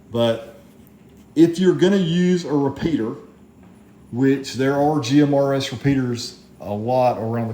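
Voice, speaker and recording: A man's voice: mid-range voice, mellow. A man casually reviewing gear as a radio hobbyist, plainspoken and rambling as he explains things. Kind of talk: casual product review vlog. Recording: echoey room, faint background noise.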